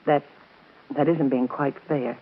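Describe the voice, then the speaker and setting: An actress playing a gentle, reasonable, affectionate fiancée in a 1930s radio soap opera. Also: female voice, rumbling low, steady tone, measured pace, slight background noise. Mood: gently reproachful. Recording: tinny, band-limited 1930s radio broadcast.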